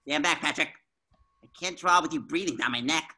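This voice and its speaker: A woman voicing an excitable, naive young male cartoon sea sponge in an eager, theatrical way at a table read. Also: sits low, fairly quick. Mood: eager, self-important.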